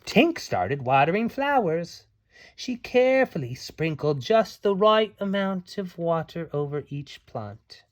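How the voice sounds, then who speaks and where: A man's voice: a little high, sing-song, takes it slowly. A man reading a storybook aloud to children as a parent, playful and theatrical, with little asides. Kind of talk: animated storybook read-aloud.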